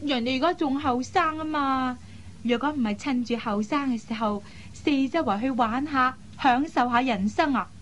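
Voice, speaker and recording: Woman acting a high-voiced, pouty young Cantonese air hostess. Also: moderate pace, close to an everyday voice. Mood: breezy, defiant. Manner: pouty, teasing, coquettish. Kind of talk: Cantonese radio drama acting. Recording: faint background noise.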